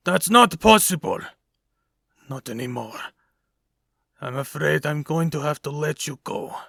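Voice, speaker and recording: Villain boss for comic dub, male actor: raspy, sharp-edged, calmly menacing, solemn and measured. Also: fairly high pitch, no room sound, clean studio sound, heavily acted. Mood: grave, regretful. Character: soft-spoken menacing crime boss, calmly pronouncing doom.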